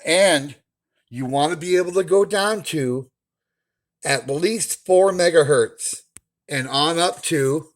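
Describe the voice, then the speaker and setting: A man talking casually and instructively as a hobbyist host, opinionated and emphatic, repeating his key points. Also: fairly high pitch. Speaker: radio hobbyist host giving buying advice.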